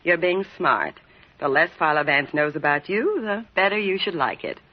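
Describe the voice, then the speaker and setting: Hard-boiled scheming dame. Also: female voice, deep voice, animated, normal speed. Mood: coolly threatening. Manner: brassy, slangy, needling. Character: hard-boiled, scheming, sarcastic racketeer woman. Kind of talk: 1940s radio crime drama acting. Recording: dull vintage radio recording.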